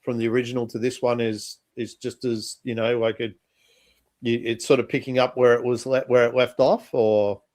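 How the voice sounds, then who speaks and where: A male podcast co-host in casual chat about comics, easygoing, with quick agreements and stammered restarts. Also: mid-range voice, level tone, a little mellow, no room sound.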